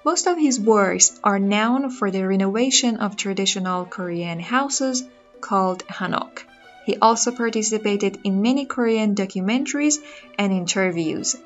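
A woman's voice: medium pitch, moderate pace, muffled recording, faint music behind. A woman whose first language is Persian, hosting a podcast and introducing her guest in an upbeat way. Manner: upbeat, laughing between phrases. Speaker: podcast host.

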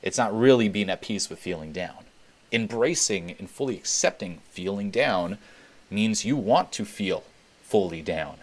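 A man's voice: fairly low pitch, animated, measured pace. A young man from Switzerland hosting a webinar Q&A, talking candidly and swearing freely as he repeats points for emphasis. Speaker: webinar host. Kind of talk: casual Q&A talk.

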